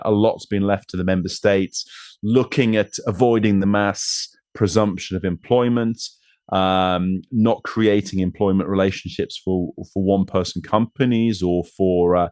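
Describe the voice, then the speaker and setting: A low-voiced man speaks at a conference with a dry, close sound. He talks in a halting way, with many fillers and restarts. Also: a little mellow, no echo. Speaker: panel speaker. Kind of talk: conference remarks.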